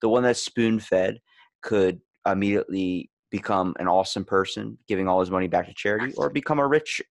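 A man's voice: on the low side, brisk, creaky, dry recording. A man in a casual podcast chat, rambling as host and animatedly telling stories, acting out the lines he quotes.

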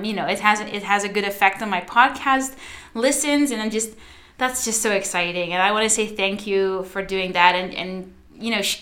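A young woman from the Netherlands, a native Dutch speaker, hosts her solo podcast with a bright, clear tone. She chats loosely and directly to her listeners, with plenty of fillers. Her pitch sits in a middle range for a woman. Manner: chatty, addresses listeners directly, many fillers. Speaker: podcast host and social media coach. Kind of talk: casual solo podcast monologue.

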